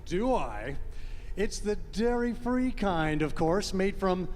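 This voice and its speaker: High-voiced, perky, pushy bartender. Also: male voice, expressive, takes it slowly, a little mellow. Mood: chirpy, eager. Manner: chirpy, salesman-like. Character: perky, pushy train lounge bartender pitching festive drinks. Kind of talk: live stage radio play acting. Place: large hall, live stage show. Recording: reverberant hall, faint audience room noise.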